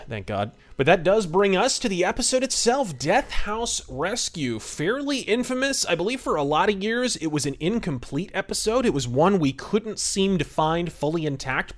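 A man's voice: a little high, lively intonation, moderate pace, few pauses. A man hosting a podcast, giving casual history commentary in a conversational way with wry asides. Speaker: podcast host.

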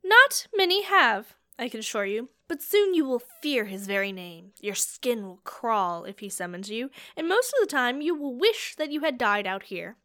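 A woman voicing a gruff, scarred male captor who taunts his prisoner loudly. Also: on the high side, expressive, moderate pace, few pauses, no echo, studio quality. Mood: taunting. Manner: loud, taunting.

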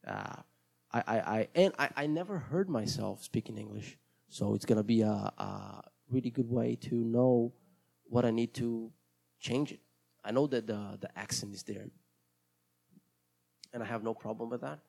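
Gravelly-voiced Brazilian man rambling casually. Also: medium pitch, moderate pace, darkish tone. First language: Portuguese. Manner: hesitant, rambling, many restarts. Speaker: podcast host. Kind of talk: casual solo podcast monologue.

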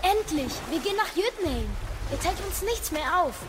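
A woman's voice: very high-pitched, lively intonation, normal speed, background noise. A performer playing an eager, curious young boy in an audio drama, often questioning. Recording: music behind the voice.

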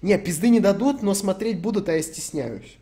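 A man's voice: high-pitched, expressive, moderate pace, warm tone, husky. A young man from Ukraine streaming, answering chat questions in a rambling, sweary, joking way. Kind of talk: casual stream Q&A.

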